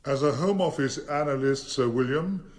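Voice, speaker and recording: A formidable barrister played by a male actor in a radio courtroom drama. He is grave and probing, choosing his words deliberately and pressing each question with authority. His pitch sits in a middle male range. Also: a little mellow, lightly acted. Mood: measured, forensic. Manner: measured, deliberate, authoritative cross-examining.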